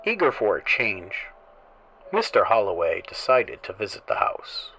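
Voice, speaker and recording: Slow, hushed male horror narrator. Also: fairly low pitch, huge pitch swings, a little hiss or hum, low-quality recording. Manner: slow, theatrical, dropping to hushed whispers. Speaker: horror show host and narrator. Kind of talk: scripted horror story narration.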